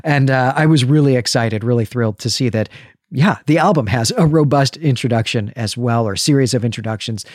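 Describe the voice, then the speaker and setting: A man talks as a podcast host in an enthusiastic, effusive way. His pitch sits in an ordinary middle range, and the sound is dry, with no room around it. The delivery is casual, like a review shared in conversation. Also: a little fast, a little mellow, no room sound.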